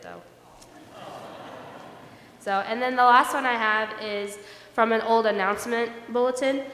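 A woman's voice: mid-range voice, a bit bright. A woman informally reading letters and announcements aloud at a stand microphone in a church. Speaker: reader of listener letters. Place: large church sanctuary. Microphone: old-fashioned stand microphone, far camera. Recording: echoey church hall, faint room noise.

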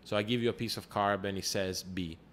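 A man's voice: on the low side, fairly even intonation, fairly quick, a bit bright. A man hosting a casual interview, asking long probing questions and prompting with short acknowledgments. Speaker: podcast host, interviewer. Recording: remote call recording with faint noise.

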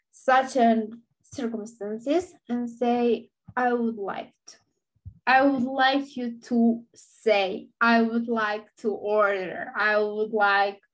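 Slow, patient Romanian-accented woman teacher. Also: fairly high pitch, low-quality recording. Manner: slow, patient, repeats words for learners. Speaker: language teacher. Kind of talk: online language lesson.

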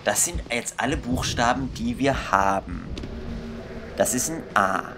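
A man's voice: medium pitch, expressive, a little slow. A young man thinking aloud in casual, exasperated commentary as he plays a video game. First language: German. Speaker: gaming video host. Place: indoor room at a computer. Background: game music.

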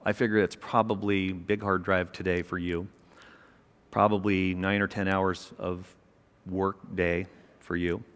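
A middle-aged man giving an energetic, emphatic keynote speech on a large conference stage, with a small mic. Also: sits low, unhurried. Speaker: keynote speaker, company chairman. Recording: long reverb of a large hall.

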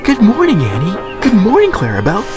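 A man's voice: high voice, huge pitch swings, a little fast, voice pushed up. Huffy, proud small engine, voiced by a male narrator. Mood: cheerful. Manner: impatient and huffy. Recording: background music.

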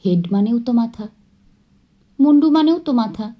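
Woman acting a high-pitched, arch girlish sweetheart. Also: animated, normal speed, mellow. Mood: curious. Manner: inquisitive, arch.

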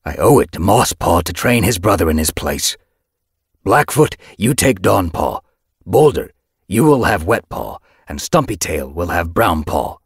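A man voicing a cold male cat clan leader who declaims in measured, brisk phrases in an animal story. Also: very deep voice, lively intonation, creaky, slightly rough, no room sound, studio quality, heavily acted. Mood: brisk command.

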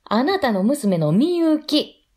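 An actress playing a brisk, teasing woman of a household, with a Kansai accent, in a radio drama. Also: sits high, sing-song, darkish tone, heavily acted.

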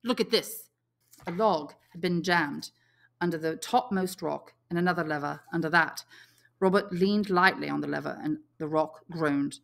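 A woman's voice: low-pitched, normal speed, vocal fry. A woman reading a novel aloud as scripted audiobook narration. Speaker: English teacher, audiobook reader.

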